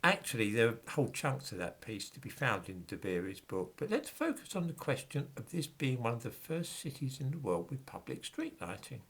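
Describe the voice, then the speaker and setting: A middle-aged man talks to camera, reading out passages and breaking off with dry, dismissive remarks. His voice is slightly husky and his pitch rises and falls in a lively way. He sounds sarcastic and unimpressed. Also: moderate pace, few pauses. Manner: dismissive, sarcastic asides while reading quotes. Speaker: commentator on history books. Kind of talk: reading aloud and commenting to camera.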